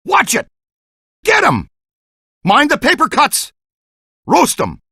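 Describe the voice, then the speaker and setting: An actor voicing an eager, excitable ghost-hunting scientist in a video game, exclaiming and giving team orders. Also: male voice, very high voice, slow pace, no room sound, studio quality, heavily acted. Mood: excited. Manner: animated, exclamatory, jargon-laden. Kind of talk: animated video game voice acting.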